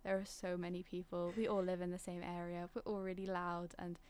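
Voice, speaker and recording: Young British-accented woman, very even intonation. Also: fairly low pitch, fairly quick, clean tone. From Singapore. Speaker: discussion participant. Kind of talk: reflective casual conversation.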